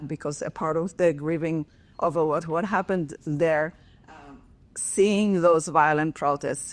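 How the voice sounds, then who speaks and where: A woman in her forties with an Israeli accent, a professor speaking earnestly on a conference panel, with many fillers and restarts. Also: fairly low pitch.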